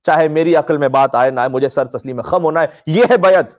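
A man's voice: on the high side, fast, a little mellow. Emphatic male preacher in impassioned sermon. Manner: emphatic, declamatory, mournful storytelling delivery. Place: indoor room with draped religious banners, live stream.

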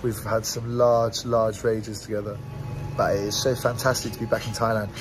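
A man's voice: fairly low pitch, little pitch movement, moderate pace, over music. A British man in his twenties chatting casually to camera as a travel vlogger, in a laid-back, slangy way, outdoors on a handheld camera. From grew up in Southeast Asia, mainly Singapore. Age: 20s. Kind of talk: casual vlog chat to camera. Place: outdoors, open-air bar and roadside in a small town. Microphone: phone or camera at arm's length.

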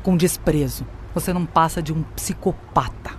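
A woman's voice: low-pitched, moderate pace, a little mellow, slight background noise, close to an everyday voice. An actress playing a scornful, grandiose artificial intelligence in an audio drama, mocking and domineering as she turns on her creator. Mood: contemptuous.

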